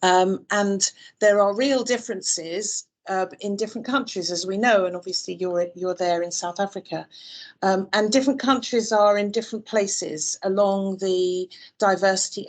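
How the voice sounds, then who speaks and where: A woman's voice: medium pitch, fairly even intonation, a little slow. A woman from the UK answering interview questions on a video call, thoughtful, with many fillers and restarts. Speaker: interview guest. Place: Dubai.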